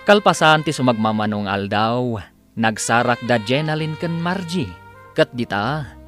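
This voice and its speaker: A man's voice: mid-range voice, a bit bright. A man with a Filipino accent narrates and announces a scripted radio drama in a dramatic style.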